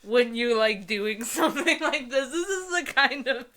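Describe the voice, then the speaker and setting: A woman's voice: on the high side, animated, moderate pace, a loud crowd. A woman co-hosting a comedy book reading, giggly and sarcastic, moving between casual banter and mock dramatic reading.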